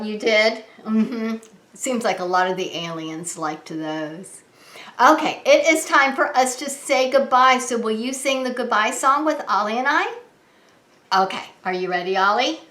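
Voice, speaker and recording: A woman preschool teacher reading a children's story aloud in an animated, sing-song manner, talking to small children. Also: mid-range voice. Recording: echoey room.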